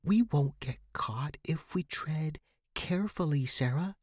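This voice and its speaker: A man's voice: fairly high pitch, animated, unhurried, darkish tone, dry recording, studio quality, pitched above the performer's own voice. A man voicing a persuasive young woman, insistent and conspiratorial, in a horror story. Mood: conspiratorial, daring. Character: daring, persuasive young woman writer, scheming friend.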